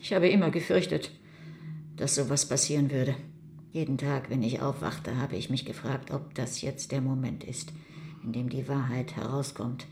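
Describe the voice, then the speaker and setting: A woman's voice: extremely low pitch, fairly even intonation, normal speed, a little mellow, a little hiss or hum. A woman playing a hardened older mother in a radio crime drama, speaking with cold, reproachful severity. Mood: bitter, self-pitying.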